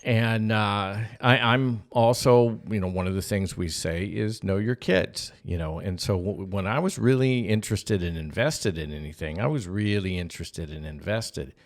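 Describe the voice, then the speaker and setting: A man's voice: lowish voice, moderate pace, few pauses. A middle-aged man co-hosting a podcast, telling a casual anecdote and acting out bits of dialogue as he goes. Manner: animated retelling with acted-out dialogue. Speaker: podcast co-host and storyteller.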